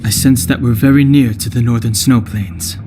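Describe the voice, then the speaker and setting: An actor playing a calm, analytical, resolute young warrior in a video game, speaking in a composed and serious way. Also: male voice, medium pitch, moderate pace, over music, lightly acted. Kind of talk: video game character acting.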